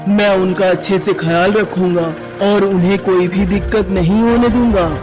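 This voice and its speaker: A man acting a high-voiced, kind, fearful driver. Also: steady tone, moderate pace, close to an everyday voice. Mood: remorseful pleading. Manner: earnest, fearful, pleading. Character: kind, terrified male driver pleading for his life. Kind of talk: dramatized Hindi horror story. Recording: loud music bed behind voice.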